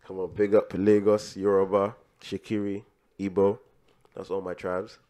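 A man's voice: deep voice, little pitch movement, moderate pace, heavy background noise. A young man who grew up in Dublin and is of Nigerian heritage, co-hosting a casual podcast chat. He talks in a laid-back way, full of filler phrases. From grew up in Dublin, Ireland; Nigerian. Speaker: podcast co-host.

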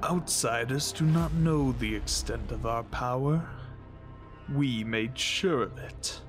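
A man's voice: medium pitch, slow, faint music behind, natural voice. A man playing a cold, fanatical alien mystic captor who speaks in stilted, formal pronouncements in an audio drama. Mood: cold menace.

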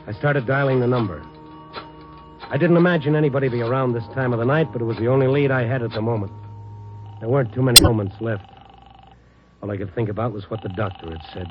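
A man's voice: medium pitch, lightly acted. An American man playing an urgent, world-weary newspaper reporter in a hard-boiled 1950s radio drama. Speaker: narrator and lead actor. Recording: dull old radio recording, music behind.

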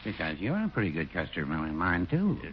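An actor playing a mild, henpecked shopkeeper in a 1940s radio sitcom, speaking in a slow, meek, understated way. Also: male voice, deep voice, huge pitch swings. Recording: dull old radio broadcast with faint hiss.

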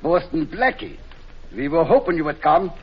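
An actor playing a smug, menacing Nazi spy leader with a German accent in 1940s radio style. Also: male voice, a little high, very animated, moderate pace, slightly rough. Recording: muffled, hissy 1940s radio recording.

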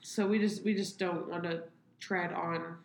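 A young adult woman with a cold sounds nasal and stuffed up as she chats on a home podcast. Her voice sits a little low. The room echoes, with a faint hiss behind. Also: fairly even intonation, a little slow. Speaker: podcast co-host. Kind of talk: casual podcast chat.

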